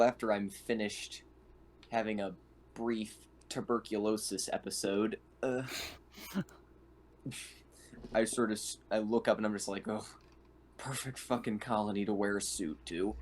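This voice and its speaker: Guy playing tabletop rpg, lowish voice, dry jokey chat, bit of background noise. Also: steady tone, measured pace, long pauses, darkish tone. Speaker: tabletop roleplaying player. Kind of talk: casual game chat, describing his character's actions.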